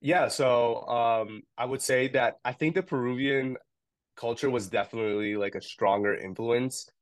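A man's voice: medium pitch, normal speed, dry recording, clean studio sound. A young man talking casually as an interview guest, rambling a little with lots of "um" and "like". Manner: relaxed, rambling, many fillers like "um" and "like". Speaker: podcast guest, founder of a food retailer.

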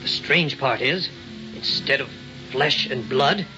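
A man's voice: mid-range voice, takes it slowly, music in the background, lightly acted. An actor playing a polite but insistent, learned museum man in old-time radio drama, speaking in precise, formal phrases. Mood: thoughtful. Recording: dull, noisy old radio recording.

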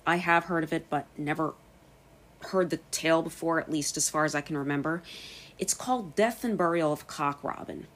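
A woman with an American accent hosting a podcast, reading scripted narration in careful, clear phrases. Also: sits low, normal speed. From United States. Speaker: podcast host and storyteller.

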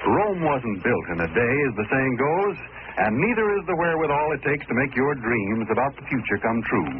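A man's voice: medium pitch, sing-song, a little fast. A man reading a 1940s radio advertisement as an announcer, with a folksy, persuasive manner. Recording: tinny, hissy 1940s radio recording.

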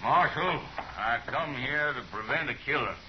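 A man's voice: mid-range voice, normal speed, close to an everyday voice. A man acting a proud, brooding, drunken rancher, blunt and slurred, in a 1950s radio drama. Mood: drunk, grim, insistent. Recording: muffled hissy 1950s radio recording.

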